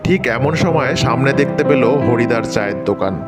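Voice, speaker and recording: A man's voice: mid-range voice, expressive, moderate pace. A man narrating a scripted cartoon story as its storyteller. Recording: loud music bed under narration. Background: loud music.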